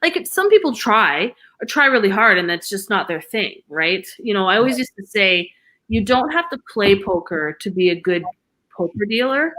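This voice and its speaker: A woman in her forties speaks as a guest in a casual podcast chat. She talks in a reflective, rambling way with many fillers. Her voice sits at an ordinary middle pitch. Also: very animated, moderate pace, bright, forceful tone, low-quality recording. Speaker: podcast guest, former poker dealer.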